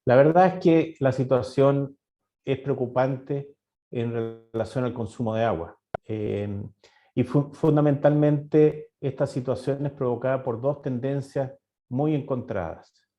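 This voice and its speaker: A man's voice: medium pitch, a little slow, warm tone. A middle-aged man from Chile giving a webinar talk as a university director, hesitant, with many fillers and restarts. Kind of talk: webinar presentation. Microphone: video call. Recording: dull lo-fi online call audio.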